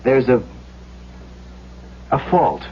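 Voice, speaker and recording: An actor playing an earnest, troubled safety inspector in a radio drama, speaking in a hesitant, halting but insistent way. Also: male voice, medium pitch, expressive, a little hiss or hum. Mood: uneasy, hesitant. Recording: dull old radio broadcast recording.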